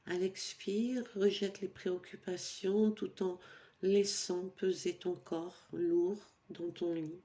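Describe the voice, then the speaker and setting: A woman yoga nidra teacher leads a sleep meditation in a calm, soothing way. Her voice sits a little low, with a dark, relaxed tone. She takes her time, giving instructions very slowly and pausing between them.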